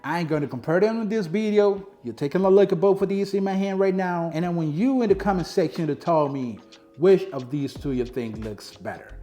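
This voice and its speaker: A man's voice: sits high, brisk, warm tone. A young man from the Dominican Republic, a native Spanish speaker with a Dominican accent, reviewing sneakers in a casual talk to camera and repeating his points for emphasis.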